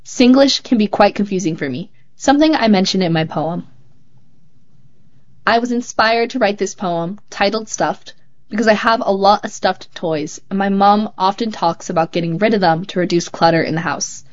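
A woman hosting a show, reading young writers' poems and essays aloud in a calm, measured way. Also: medium pitch. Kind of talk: measured read-aloud narration. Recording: slightly muffled, dull sound.

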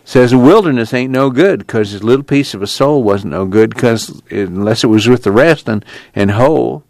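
A man voicing a weary young man in hiding, who speaks in a low, unhurried, folksy rural way. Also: animated, vocal fry, darkish tone, no room sound. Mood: reflective. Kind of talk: audiobook character reading.

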